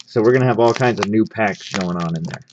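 A man's voice: on the low side, fast, background noise. A man hosting a casual unboxing video with an upbeat, easygoing manner, talking through what he handles. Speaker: host of a sports card pack-opening show. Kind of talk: casual hands-on unboxing commentary. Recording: slightly dull, lo-fi microphone.

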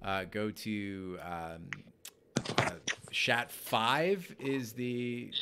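A man's voice: on the low side, very slow, heavy background noise. A man whose first language is Farsi, hosting a casual livestream chat while gaming, rambling and laughing at himself. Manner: rambling, self-mocking, laughs often. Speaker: podcast host streaming a game. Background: game music.

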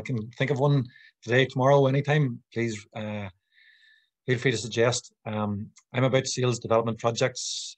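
A man's voice: medium pitch, no room sound. Northern Irish man giving an informal talk. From Northern Ireland. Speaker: guest speaker, sales consultant. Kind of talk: informal business presentation. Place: room at home or small office, indoors. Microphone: computer mic on video call.

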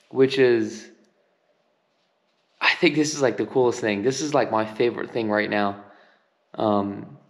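A man giving a casual, enthusiastic walkthrough of a house for sale, pointing out details as he films on a handheld camera. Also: medium pitch. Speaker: real estate agent giving a home tour. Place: empty house, large bare rooms. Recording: long reverb of empty rooms.

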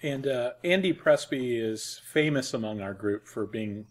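A man with an average-pitched voice talks informally on a studio podcast. He explains things conversationally, as a consultant among colleagues would. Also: animated, measured pace. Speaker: podcast guest, technical consultant. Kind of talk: casual explanatory discussion.

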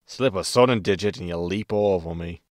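Man playing a low-voiced, wry space captain. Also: brisk, darkish tone, dry recording, clean studio sound, close to an everyday voice. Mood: irked. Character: gruff, roguish male spaceship captain, easily irked. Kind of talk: sci-fi comedy audio drama acting.

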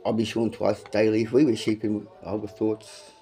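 A man's voice: on the low side, flat delivery, moderate pace, warm tone. A man freestyling profane, aggressive rap bars, repeating lines as he goes. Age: adult, has a son. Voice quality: says he has a big voice. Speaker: amateur freestyle rapper.

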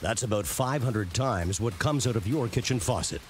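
A man reading scripted narration for a documentary series in a measured, punchy way. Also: mid-range voice, animated. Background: prominent background music.

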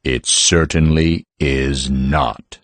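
An actor playing an ancient living stone creature, solemn, formal and ponderous, in an audiobook drama. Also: male voice, a bass voice, steady tone, drawn-out words, no echo, full character voice. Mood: huffy.

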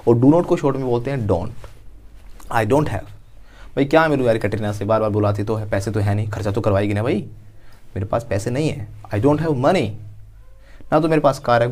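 Playful guy teaching english, acts out small scenes, lapel mic in a dark studio. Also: mid-range voice. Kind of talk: spoken English lesson.

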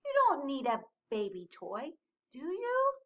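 A sensible young penguin from a children's storybook, voiced by a woman. The voice is high and lively, bossy and emphatic, chiding like an older sibling. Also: slow, full character voice. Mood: gently firm.

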